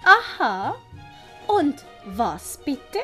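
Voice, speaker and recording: Woman as a polite shrewd servant girl, raised pitch. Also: sing-song, very slow, sharp tone, faint music behind, exaggerated voice. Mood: wary, shrewd. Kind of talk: commedia dell'arte audio play acting.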